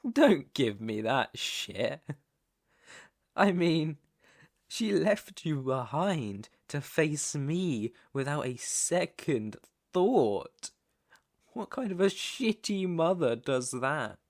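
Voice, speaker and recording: Man acting a slow-talking British mobster kidnapper. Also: mid-range voice. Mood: angry, harsh. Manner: slow, deliberate, taunting, swearing. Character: menacing, teasing young male mob boss's son, kidnapper. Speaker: roleplay audio performer. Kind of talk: intimate ASMR roleplay acting.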